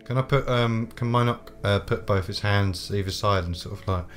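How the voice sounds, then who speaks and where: A man's voice: fairly low pitch, moderate pace, soft background music. A man chatting casually as a player in a tabletop game.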